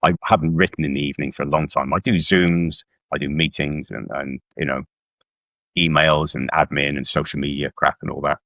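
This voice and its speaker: Middle-aged male author from South Wales, notably low register, informal interview guest, very little room sound. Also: flat delivery, a little fast, fairly bright tone, no room sound, studio quality. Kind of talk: casual conversational interview. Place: indoors at home on a video call.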